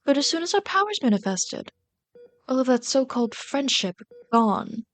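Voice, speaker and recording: A woman voicing a bitter, yearning female villain in an intimate ASMR roleplay, brooding and dramatic, slipping into breathy whispers. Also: sits high, big pitch swings, normal speed.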